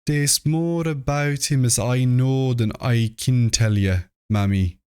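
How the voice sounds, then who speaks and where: Male narrator as a frightened mother, rustic dialect, uneasy confiding manner, unhurried, dry storybook recording. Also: mid-range voice, mellow, smooth, no room sound, voice pushed up, close to an everyday voice. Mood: fearful, confiding. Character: frightened rural New England mother, uneducated and uneasy.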